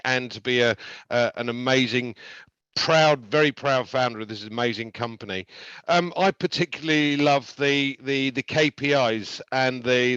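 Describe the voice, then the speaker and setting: A man giving enthusiastic remarks at a live online event, halting often with fillers and restarts. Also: medium pitch, a bit bright, no echo, lo-fi sound. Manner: enthusiastic, hesitant with many fillers. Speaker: event participant giving feedback.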